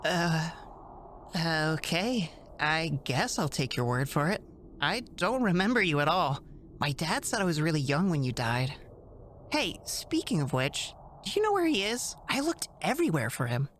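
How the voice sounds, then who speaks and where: A woman's voice: low-pitched, big pitch swings, moderate pace, slight background noise. A woman voicing a polite, naive young boy hero, hesitant and earnest, in a cartoon fandub. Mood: puzzled, hesitant. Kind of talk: fandub character acting.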